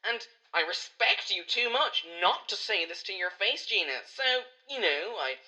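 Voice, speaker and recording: A woman's voice: mid-range voice, big pitch swings, moderate pace, pushed and bright. A woman playing an awkward, patronising Irish son-in-law who rambles hesitantly through a comic radio-play voicemail. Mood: businesslike, flustered. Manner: hesitant, rambling, nervously chuckling corporate waffle. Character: awkward, patronising middle-class son-in-law, an office commuter. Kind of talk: radio comedy voicemail monologue. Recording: dull, lo-fi voicemail sound.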